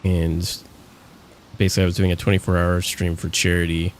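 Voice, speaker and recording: A man's voice: sits low, completely flat delivery, fairly quick, slight background noise. A man streaming a survival game, talking casually and rambling with frequent restarts. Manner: rambling, lots of fillers and restarts. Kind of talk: casual gaming chat.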